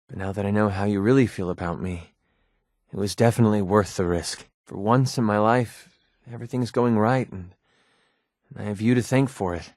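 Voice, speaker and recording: A man's voice: lowish voice, a little mellow, dry recording, lightly acted. Man acting a guarded, plain-spoken mercenary, close and dry. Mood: sincere, grateful. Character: guarded, wounded young male mercenary, sarcastic, quick-tempered but loyal. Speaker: companion character in a video game. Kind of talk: naturalistic video game voice acting.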